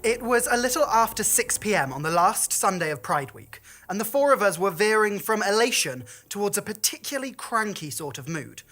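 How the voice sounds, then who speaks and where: A woman's voice: lowish voice, a bit bright. A woman performing a comic storytelling monologue in a wry, campy, theatrical manner.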